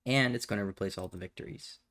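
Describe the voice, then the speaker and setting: A man hosting a step-by-step software tutorial in a casual, plainspoken way, recorded indoors at a computer. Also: lowish voice, fast. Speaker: tutorial host. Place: indoors at a computer, voice over screen capture.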